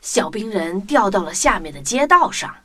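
A woman narrating a scripted children's story in an expressive storytelling manner. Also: mid-range voice, moderate pace, noisy background.

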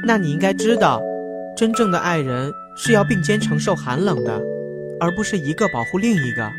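A woman's voice: on the low side, lively intonation, a little fast, natural voice. A woman playing a blunt, caring young sock companion in a fairy-tale radio drama, chiding and exclaiming expressively. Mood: exasperated. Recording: clear music behind voice.

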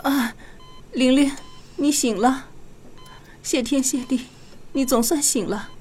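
A woman's voice: high voice, takes it slowly, a little mellow, a little hiss or hum. A woman playing a grieving, tender mother of a young girl in an emotional radio drama. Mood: relieved, grieving.

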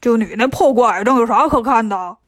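A woman voicing a clumsy, boastful pig-monk who whines and complains fast in a children's storybook. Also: fairly high pitch, no echo, studio quality, close to an everyday voice. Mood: cocky. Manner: whiny, indignant and fast-talking.

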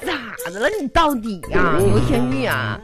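An actress playing a blunt, down-to-earth teasing friend in a comic radio play, with a folksy Northeastern Chinese accent. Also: female voice, mid-range voice, very animated, moderate pace, over loud music, lightly acted. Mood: teasing, exasperated. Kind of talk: comedic radio drama acting. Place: radio studio.

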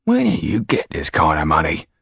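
A man's voice: very deep voice, huge pitch swings, moderate pace, gravelly, a bit bright, slightly rough, no echo, clean studio sound, heavily acted. A man voicing a resentful coachman in an audiobook, grumbling and sarcastic.

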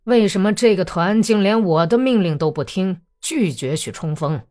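A woman's voice: lowish voice, animated, unhurried, darkish tone. A woman acting out an irate, domineering old male general in an audiobook, imperious and scolding, with music behind. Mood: stern, angry. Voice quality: hoarse when angry.

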